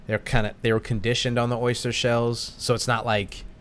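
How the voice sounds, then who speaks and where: A man's voice: medium pitch, flat delivery, fairly quick, fairly bright tone, a little hiss or hum. A man co-hosting a podcast, chatting casually over a beer and rambling with restarts. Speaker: podcast co-host. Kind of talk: casual chat over beers.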